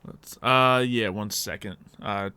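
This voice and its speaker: A man's voice: on the low side, slow pace, bright, forceful tone, clear, resonant tone. A man chatting casually as he hosts and runs a tabletop roleplay game, at times muttering to himself as he sets things up. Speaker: game master and host.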